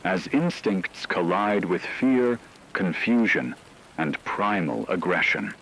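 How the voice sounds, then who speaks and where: Deep-voiced grave radio newsman played by a man. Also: lively intonation, slow, fairly bright tone, a little hiss or hum, heavily acted, rough recording. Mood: grave, ominous. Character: grave male radio newsman reporting a werewolf apocalypse. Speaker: radio emergency broadcaster. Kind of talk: scripted fictional radio news narration.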